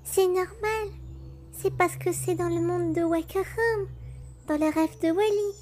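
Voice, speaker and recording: A woman's voice: very high-pitched, steady tone, takes it slowly, a little mellow. A woman voicing a little, sweet, easily delighted child puppet in an amateur comic dub. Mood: reassuring.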